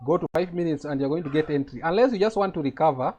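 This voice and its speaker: A man's voice: on the high side, expressive, fast talker, mellow, highs cut off, slight background noise. A man with a Kenyan accent teaching a live trading lesson over a video call, explaining step by step and checking that his students follow.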